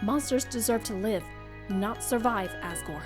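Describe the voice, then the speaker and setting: A woman's voice: mid-range voice, unhurried, lightly acted. A woman playing a resolute, regal monster queen in a comic dub, speaking formally and solemnly. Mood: firm, grave. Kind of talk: dramatic comic dub acting. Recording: music behind voice.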